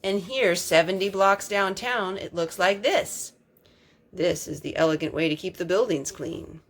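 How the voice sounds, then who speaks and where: A woman reading a picture book aloud as a storytime host, expressive, drawing out words for emphasis. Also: on the low side, unhurried. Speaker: storytime reader.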